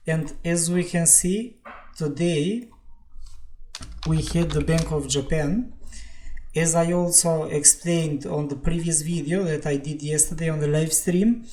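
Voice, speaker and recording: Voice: medium pitch, warm tone. A host walking through a trade review over charts in careful, explanatory non-native English. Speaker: trading analyst and channel host.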